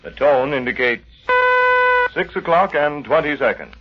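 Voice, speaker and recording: A man's voice: fairly high pitch, animated, measured pace. A man reading a station announcement and sponsor's ad in 1930s radio style. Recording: dull, lo-fi 1930s radio broadcast.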